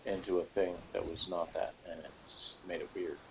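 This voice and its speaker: A man's voice: low-pitched, steady tone, fairly quick, darkish tone, breathy edge. Sceptical man in slightly muffled lo-fi audio. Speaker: co-reviewer in a fan discussion. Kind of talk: casual opinionated chat.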